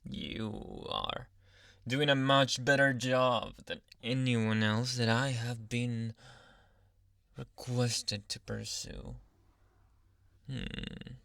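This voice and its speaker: A man voicing a dominant but awkwardly polite alpha male werewolf in an intimate, halting ASMR roleplay, with sighs and murmured asides. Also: mid-range voice, steady tone, creaky, fairly bright tone, no room sound, studio quality, full character voice. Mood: stalking, teasing. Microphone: close, dry microphone.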